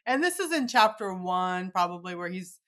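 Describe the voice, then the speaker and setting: A woman's voice: lowish voice, very animated, normal speed, fairly bright tone. A woman podcast host chatting casually and agreeably with a guest, her phrasing loose and conversational. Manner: agreeable, conversational, uses "like" often. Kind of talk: casual interview chat.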